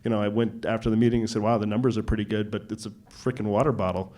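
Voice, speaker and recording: A man speaking as an investor in a casual on-stage interview, halting and colloquial, often checking his point with the listener. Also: fairly low pitch, level tone, darkish tone.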